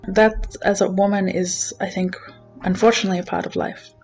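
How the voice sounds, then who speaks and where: Aussie-born woman, gravelly voice, reflective, kinda dull lo-fi recording. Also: mid-range voice, flat delivery, fairly quick, darkish tone, soft background music. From Australia. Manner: reflective, frequent 'you know'. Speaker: residential school worker. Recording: dull lo-fi sound.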